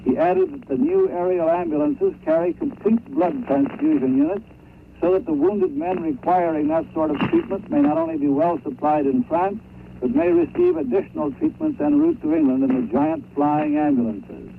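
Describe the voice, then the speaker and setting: A man reports as a radio war correspondent in scripted 1930s storytelling style. His delivery is highly animated, with a gravelly creak. The old shortwave sound is dull, with faint noise. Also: normal speed.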